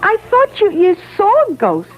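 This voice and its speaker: Very high-voiced naive young wife. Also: female voice, huge pitch swings, unhurried, a little hiss or hum, full character voice. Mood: puzzled, wondering. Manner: hesitant, guileless, rambling. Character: naive, sweet, slightly dim young wife. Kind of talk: radio mystery drama acting. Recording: tinny, band-limited old radio recording.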